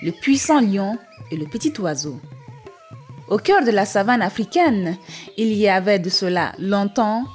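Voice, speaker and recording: Female narrator, medium pitch, scripted folktale reading, storyteller, music behind. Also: expressive, measured pace.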